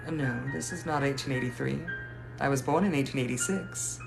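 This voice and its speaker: A man's voice: medium pitch, a little fast, vocal fry, music in the background, natural voice, rough recording. A man playing a genteel lovelorn ghost lady. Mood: gracious. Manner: formal, old-fashioned and gracious. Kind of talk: improvised live roleplay answering viewers.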